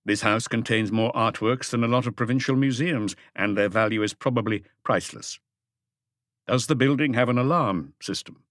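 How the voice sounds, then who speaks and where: A man voicing a patient, methodical police detective in an audiobook, formal and deliberate as he questions. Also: mid-range voice, fairly bright tone, dry recording, clean studio sound, natural voice. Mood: measured, firm. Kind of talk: audiobook character dialogue.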